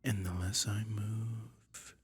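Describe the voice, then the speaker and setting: A man voicing a sly, soothing dragon who toys with a listener in a soft-spoken ASMR roleplay, breathy and whispery with a slow, coaxing delivery. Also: on the low side, level tone, dry recording, heavily acted. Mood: hypnotic, coaxing. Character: sly, soothing male dragon lurking in a cave, toying hypnotist.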